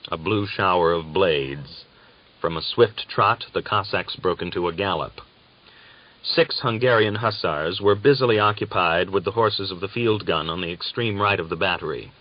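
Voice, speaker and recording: A man narrating a novel as an audiobook, with a measured, deliberate and dramatic literary delivery. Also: deep voice. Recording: dull, lo-fi audiobook recording.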